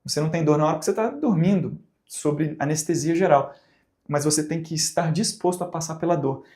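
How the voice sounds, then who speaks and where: Brazilian man teaching with a mellow voice. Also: on the high side, brisk. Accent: Brazilian Portuguese. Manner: conversational, asks rhetorical questions, acts out examples. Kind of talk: recorded teaching talk.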